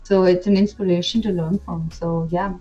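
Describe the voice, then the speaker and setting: A woman in her twenties, from India, chats eagerly as a guest, circling back and restarting her sentences. Her voice sits somewhat low. The sound is dull and lo-fi, like a video call with faint music underneath. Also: steady tone, normal speed, warm tone. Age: 20s. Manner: chatty, enthusiastic, with fillers and restarts. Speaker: pageant titleholder guest. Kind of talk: casual interview.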